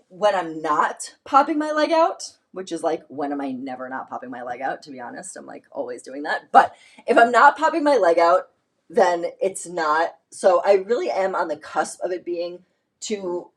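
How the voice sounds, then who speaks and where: A woman hosting a casual live shopping stream, chatty and informal, her voice a little worn from a cold. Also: lowish voice. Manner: chatty, informal, emphatic and conversational. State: voice going from a lingering cold. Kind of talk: casual chatty try-on haul.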